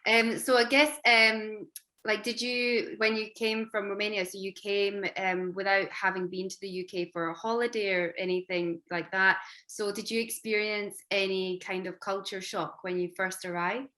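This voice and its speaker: A woman's voice: mid-range voice, flat delivery, normal speed, sharp tone. A woman hosting an interview on a video call, chatty and full of fillers. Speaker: host, careers adviser.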